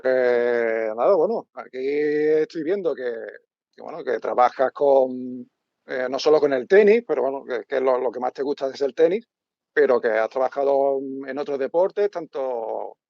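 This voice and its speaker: A man's voice: mid-range voice, moderate pace, creaky, no echo. A man hosting an interview, talking casually and hesitantly with many restarts. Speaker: host. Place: home in Málaga. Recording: dull lo-fi sound.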